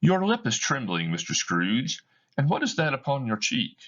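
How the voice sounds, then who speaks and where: A man reading aloud as a gentle but insistent male spirit of the past, asking pointed questions. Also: mid-range voice, huge pitch swings, normal speed, highs cut off, voice pushed up. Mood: probing.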